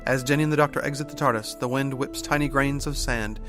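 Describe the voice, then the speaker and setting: A man's voice: medium pitch, fairly even intonation, fast talker, music in the background. A man reading scripted narration as the narrator of an audio drama.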